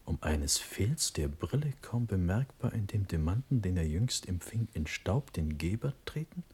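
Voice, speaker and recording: A very husky, fairly low male voice as an anguished young prince in a radio play. He declaims verse impetuously and swings widely between hushed breath and outcry. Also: big pitch swings, normal speed, heavily acted. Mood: incredulous, brooding. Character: young Prussian prince and cavalry general, impetuous, anguished, condemned. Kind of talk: classical verse radio drama acting.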